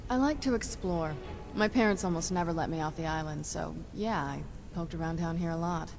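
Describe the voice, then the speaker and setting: A woman's voice: on the low side, fairly quick, lightly acted, poor audio. An actor, a woman, playing a young female vampire companion in a video game, casual, wry, curious and adventurous. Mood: wry, reminiscing. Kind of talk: video game character dialogue. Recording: loud game ambience noise behind.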